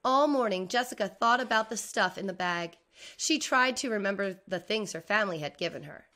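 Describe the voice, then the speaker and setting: A middle-aged woman reading a children's picture book aloud like a teacher, expressively and with deliberate enunciation. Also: medium pitch. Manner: deliberate enunciation, animated for young listeners. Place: indoors, video recording.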